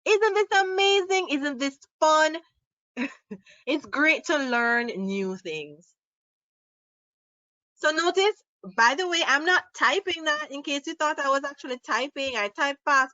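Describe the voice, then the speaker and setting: A woman with a Jamaican accent presenting a live software tutorial, upbeat and quizzing her audience as she demonstrates. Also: high voice, no room sound, lo-fi sound. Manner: upbeat, asks the audience questions. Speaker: online workshop presenter. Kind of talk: live screen-share tutorial. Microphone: built-in laptop mic.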